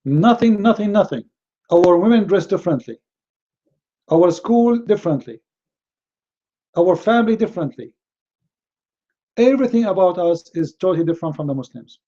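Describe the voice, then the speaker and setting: Arabic-accented man, indignant rebuttal, raised pitch, muffled lo-fi sound. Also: lively intonation, moderate pace, long pauses, dark tone. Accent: Middle Eastern Arabic. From Middle East. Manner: indignant, repeats words and restarts often. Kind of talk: heated rebuttal to a clip.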